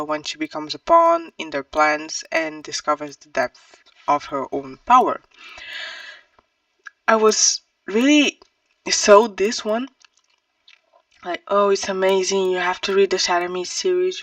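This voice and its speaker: Very creaky-voiced woman reviewing books. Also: mid-range voice, a bit bright, dry recording, far from the mic. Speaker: book reviewer and vlogger. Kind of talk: casual book review to camera.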